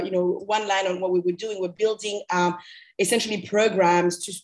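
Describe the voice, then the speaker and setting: Young woman from cameroon, podcast guest, mid pitch, candid and informal, explains stuff in a chatty interview way. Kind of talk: conversational interview answers.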